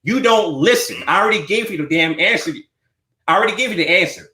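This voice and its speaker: A man's voice: sits high, lively intonation, quick pace, pushed and bright, reverberant. A man in his forties hosting a livestream, arguing insistently and repeating himself over and over. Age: 40s. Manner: repetitive, insistent, talks over the caller. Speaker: live stream host. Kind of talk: heated argument on a livestream.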